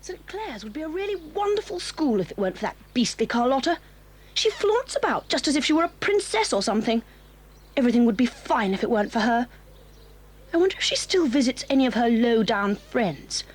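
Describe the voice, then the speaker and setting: A woman's voice: on the high side, sing-song, fairly quick, breathy edge. An actress playing a spiteful, resentful teenage schoolgirl with a whiny manner, in a 1980s audio drama. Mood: sneering.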